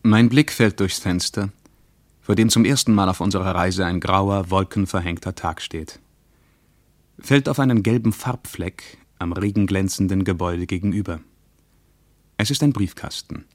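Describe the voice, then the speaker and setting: Low, dry-sounding man acting in a radio play. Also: normal speed, no room sound, lightly acted. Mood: reflective, uneasy. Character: practical, reflective male traveller, slightly impatient, uneasy. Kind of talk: radio play acting, dialogue and diary monologue.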